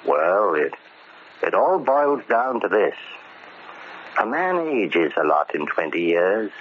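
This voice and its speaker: A dull, noisy old radio drama recording carries a low American male voice. a bitter middle-aged convict, grim and deliberate, set on settling an old debt. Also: sing-song, exaggerated voice. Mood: brooding, determined.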